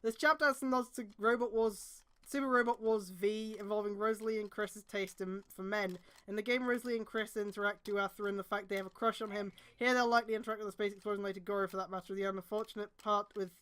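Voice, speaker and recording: A woman's voice: medium pitch, steady tone, fast talker, hardly any pauses, a bit bright. A woman hosting a stream, reading fan fiction aloud with exasperated, mocking, sweary casual commentary.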